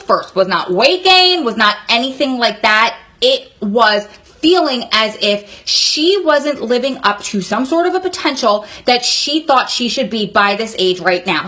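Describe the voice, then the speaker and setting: A woman's voice: a little high, sharp tone. A woman of about forty giving candid advice in a vlog, speaking in an earnest, encouraging, conversational way. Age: 39, nearly 40. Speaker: vlogger advising prison wives.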